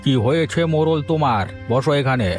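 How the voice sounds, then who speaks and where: A man's voice: mid-range voice, fairly quick, natural voice. Man acting a stern, crafty village healer. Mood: stern, scheming. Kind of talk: animated story dialogue. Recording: faint music behind the voice.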